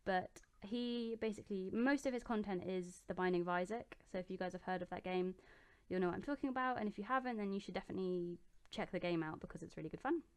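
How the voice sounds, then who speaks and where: A young woman in her bedroom, answering viewer questions in a relaxed, chatty way, with plenty of ums and restarts. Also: mid-range voice, fast talker, hardly any pauses, clean tone. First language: English. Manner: chatty and relaxed, with many ums and self-corrections. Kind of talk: casual chat.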